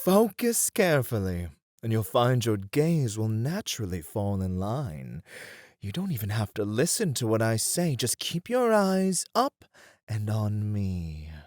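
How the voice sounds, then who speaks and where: A performer playing a poised, playful etiquette tutor in a scripted ASMR roleplay, speaking with refined, deliberate phrasing. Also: mid-range voice, very animated, a little mellow, no room sound, clean studio sound. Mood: intent, coaxing.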